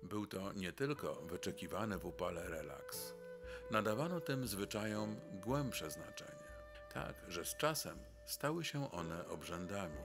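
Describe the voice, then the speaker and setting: Deep, gravelly male documentary narrator. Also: big pitch swings, measured pace. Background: instrumental music.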